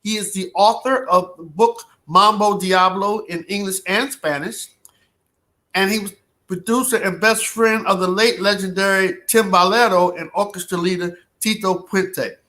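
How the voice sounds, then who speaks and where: A man's voice: high-pitched, fairly even intonation, normal speed, sharp tone. A man hosting a talk show, formally introducing his guest before handing over. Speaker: show host.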